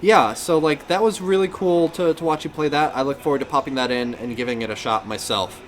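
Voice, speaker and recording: A man's voice: on the high side, fairly even intonation, a little fast. A man hosting a podcast in casual gaming chat, talking in a rambling, self-correcting way. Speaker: podcast host.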